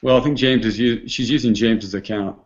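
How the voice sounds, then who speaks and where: A man hosts a loose online hangout, his mid-pitched voice wandering through restarts and fillers. The sound is dull and lo-fi, like a streamed web call. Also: fairly even intonation, fairly quick. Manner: rambling, many restarts and fillers. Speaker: host. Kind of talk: casual online hangout chat.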